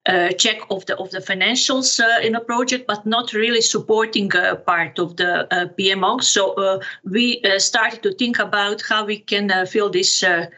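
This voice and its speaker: A middle-aged woman from Serbia with a Serbian accent, answering interview questions haltingly as she searches for words. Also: medium pitch, steady tone, pushed and bright. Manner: halting, searching for words, non-native phrasing. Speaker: podcast guest, project management officer.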